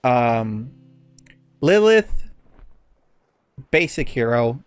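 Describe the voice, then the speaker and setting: A man's voice: mid-range voice, big pitch swings, very slow, clear, resonant tone, no echo, faint music behind. A man co-hosting a podcast, giving a casual, exasperated and profanity-laced movie review. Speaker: podcast co-host.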